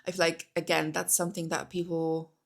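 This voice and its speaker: Young woman, polish is her first language, slightly low voice, rambly chatty vlog at home. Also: level tone, brisk, gravelly. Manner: chatty, lots of fillers and restarts. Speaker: language-learning vlogger. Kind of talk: casual talk to camera. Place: indoors at home.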